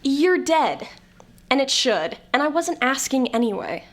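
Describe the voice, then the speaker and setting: A woman's voice: high-pitched, very animated, a little slow. A woman playing a jaded, blunt, sardonic character in an audio comedy-drama, with a dry delivery. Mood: testy, wry.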